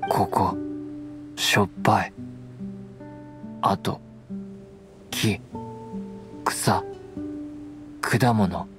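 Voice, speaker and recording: Feral anime boy, low and super slow, choppy simple words, game dialogue. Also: fairly even intonation. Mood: halting, earnest. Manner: halting, short blunt fragments. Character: wild wolf-raised boy, simple, protective, earnest. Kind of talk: Japanese anime game voice acting. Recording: studio voice over game music.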